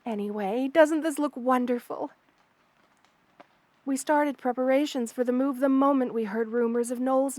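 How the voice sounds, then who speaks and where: A woman plays a loving spider queen, a monster mother who is nurturing and gently regal. Her voice sits fairly high and addresses the listener tenderly and protectively. It is an intimate ASMR roleplay with a very dry sound, free of room echo.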